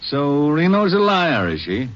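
A man playing a gruff, suspicious Texas rancher and protective father in a 1950s radio drama, with an American accent and curt, folksy speech. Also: on the high side, huge pitch swings, a little slow, fairly bright tone, full character voice. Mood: accusing, defensive. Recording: noisy, muffled old 1950s radio recording.

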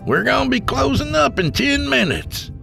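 A man's voice: a little high, sing-song, a little slow, creaky, fairly bright tone, soft background music, voice pushed up, heavily acted. A man voicing a friendly, courteous saloon bartender with a folksy Southern US accent in an audiobook story. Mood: hollering.

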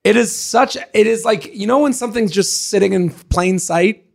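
A man co-hosting a podcast in casual, joking chat, hoarse as his voice comes back. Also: sits high, normal speed. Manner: joking, animated, self-mocking. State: hoarse, voice recovering. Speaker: podcast co-host.

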